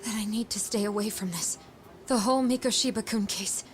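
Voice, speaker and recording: A woman's voice: medium pitch, brisk, soft background music, natural voice. An actress playing a timid, polite and uneasy woman in an English video game dub, speaking hesitantly. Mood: guilty, uneasy.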